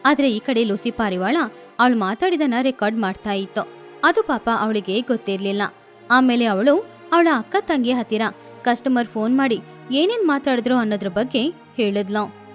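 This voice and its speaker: A woman narrating a children's cartoon story in a steady, explanatory way. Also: a little high, normal speed. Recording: faint music behind.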